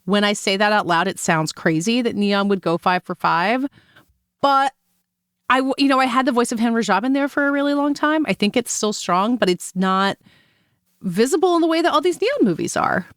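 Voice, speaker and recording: A woman's voice: a little high, normal speed, a bit bright, smooth, no echo, clean studio sound. A woman co-hosting a casual film awards discussion, talking in a chatty, analytical, conversational way. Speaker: podcast co-host.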